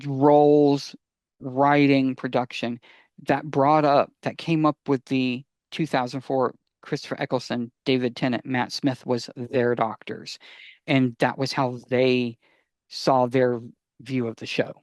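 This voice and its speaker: A man's voice: mid-range voice, level tone, unhurried, a little mellow, no echo. A man co-hosting a casual fan discussion, speaking conversationally and addressing listeners directly. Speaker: podcast co-host.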